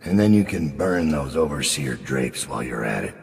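A grim, menacing assassin boss for a video game. The voice is extremely low and thick with gravel, measured and world-weary, with dry threat in it. A long reverb hangs around it. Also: male voice, animated, heavily acted. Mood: dry, commanding. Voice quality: gravelly, very deep. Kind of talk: video game character acting.

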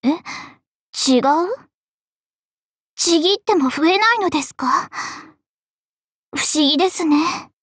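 Lovestruck young dragon girl, polite, possessive, slow delivery, dry close studio sound, anime game. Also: very high-pitched, no echo, full character voice. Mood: polite, puzzled. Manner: polite, genteel, softly deliberate. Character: devoted, possessive young dragon maiden in love. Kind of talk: Japanese anime game voice lines.

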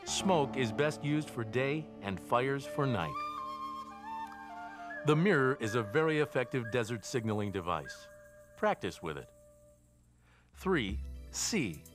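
Male narrator, training film script, measured and didactic, varied pitch, music behind. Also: sing-song. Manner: measured, didactic, enumerating points. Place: studio voice-over.

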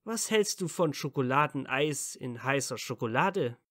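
A man's voice: mid-range voice, lively intonation, unhurried, a bit bright, dry recording. Guy acting as young wizard hero, sincere n playful, very dry recording, audio drama. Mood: casual.

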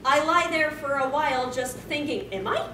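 A woman's voice: high voice, animated, normal speed. A young woman doing a live comedic dramatic reading as a panel host, with wry, theatrical, self-interrupting delivery. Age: young adult. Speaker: convention panel co-host reading fan fiction. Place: convention panel room stage. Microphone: far camera. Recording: echoey room from far off, noisy.

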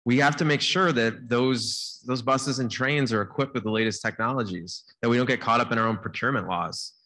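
A man's voice: mid-range voice, a little fast, poor audio. A man in his thirties on a conference panel, talking casually and energetically into a handheld mic. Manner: casual, energetic, uses "like" often. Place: conference stage. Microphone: handheld microphone.

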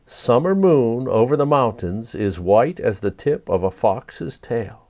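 An earnest, nervous male poet from a storybook, acted by a man narrating the tale. He speaks slowly and with hesitation, stammering on his words. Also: medium pitch, expressive, a little mellow. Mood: reciting. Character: earnest, anxious old-style male poet.

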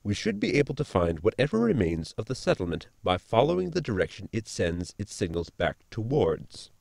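Casting: male actor as precise, pedantic science officer, hugely animated intonation, gravelly creak, sci-fi comedy. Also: fairly low pitch, fairly quick, dry recording, clean studio sound, heavily acted. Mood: thoughtful. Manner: formal, pedantic. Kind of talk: sci-fi comedy audio drama acting.

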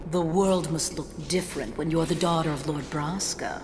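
Woman doing snooty game rival, lowish voice, cutting and bossy, echoey hall with music. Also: fairly even intonation, normal speed, background noise, lightly acted. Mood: scornful. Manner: condescending, cutting, imperious. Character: haughty, sneering female summoner, adult rival. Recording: hall reverb, game sounds and music behind.

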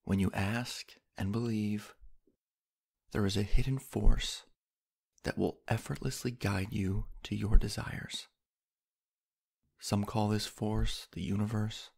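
Male sleep-hypnosis narrator: lowish, husky with some fry, soft, unhurried delivery with long pauses. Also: fairly even intonation. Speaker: guided meditation narrator. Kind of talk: sleep hypnosis guided meditation, slow scripted narration.

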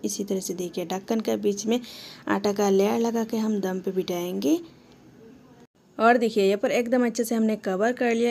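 Gravelly-voiced woman narrating a home recipe. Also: mid-range voice, dark tone. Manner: instructive, talks the viewer through each step. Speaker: cooking channel host. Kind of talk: step-by-step recipe voice-over.

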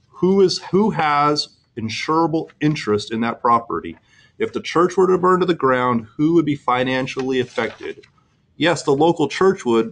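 A middle-aged man presenting an insurance webinar, explaining earnestly with frequent fillers and restarts. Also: medium pitch.

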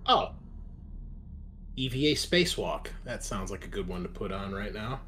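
Low-voiced man running a tabletop game. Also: animated, moderate pace, bright, forceful tone, slight background noise. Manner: chatty, explanatory, casual swearing. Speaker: game master and host. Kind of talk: casual narrating of a tabletop game to players and listeners.

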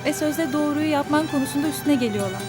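A hurt but firm young woman, played by an actress, with a high voice and quick, measured reproach. A violin-laced drama score plays beneath her. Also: loud music behind the voice, natural voice. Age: young adult. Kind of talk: TV drama acting.

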